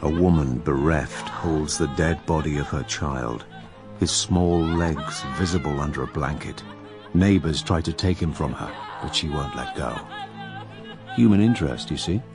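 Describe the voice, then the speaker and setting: An actor playing a wry, imperious diarist narrating a radio drama with sardonic, theatrical asides. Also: male voice, a bass voice, measured pace, music in the background. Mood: grave, detached. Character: wry, imperious male narrator-diarist of plague-stricken London.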